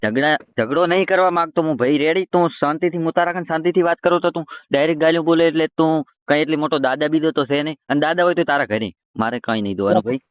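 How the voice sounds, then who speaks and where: A man's voice: on the high side, quick pace, hardly any pauses, no echo. A man in a heated phone argument, talking in a colloquial, stubborn and confrontational way. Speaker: roast video creator taking a call. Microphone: phone call. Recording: tinny phone-line call recording.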